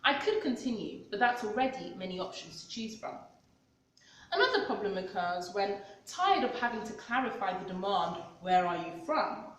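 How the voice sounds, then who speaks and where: A woman's voice: medium pitch, normal speed. A woman in her twenties from London with a London accent, expressively reading her own personal essay aloud. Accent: London English. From London, England. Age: 20s. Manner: expressive reading with acted-out dialogue. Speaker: author and vlogger. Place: large echoey cultural hall. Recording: very echoey hall, distant mic.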